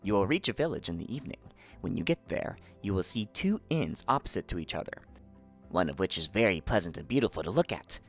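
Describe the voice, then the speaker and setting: A man's voice: low voice, very animated, a little fast, soft background music, exaggerated voice. A man voicing a cunning fox from a storybook, a wise helper who gives counsel in an instructive, commanding manner. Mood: urging. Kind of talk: storybook character voice.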